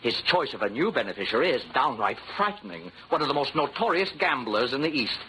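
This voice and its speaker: Fussy, sharp-voiced, flustered radio manager. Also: male voice, mid-range voice, very animated, a little fast. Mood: fussy, worried. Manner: prim, agitated, emphatic, old-fashioned phrasing. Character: fussy, flustered, formal male insurance company manager. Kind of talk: 1940s radio drama acting. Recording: noisy vintage 1940s radio recording.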